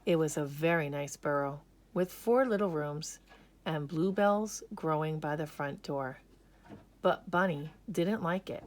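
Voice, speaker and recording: A woman narrating a children's story aloud with expressive, dramatic emphasis. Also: low voice. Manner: slow, animated, dramatic emphasis.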